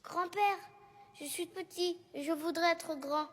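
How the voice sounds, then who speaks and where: A performer voicing a tiny, bold, curious boy in an animated film, earnest and plain-spoken in the way of asking. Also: extremely high pitch, unhurried, in a large hall, slight background noise. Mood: eager, earnest.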